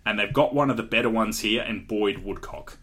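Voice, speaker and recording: A man's voice: on the low side, fairly quick, a bit bright. A young Australian man co-hosting a podcast, chatting casually through a draft with fillers and frequent laughs. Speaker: podcast co-host. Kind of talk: casual chat, draft analysis.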